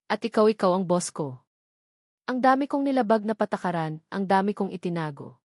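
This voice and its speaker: A woman's voice: medium pitch, fairly quick, no room sound, clean studio sound, natural voice. A woman voicing a guilt-ridden young secretary who pleads in a tearful, cracking voice in a storybook romance. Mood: pleading.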